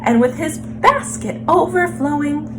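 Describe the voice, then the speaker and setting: A woman reading a children's picture book aloud as a story time host, expressively and with drawn-out emphasis on words. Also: sits high, music in the background, rough recording.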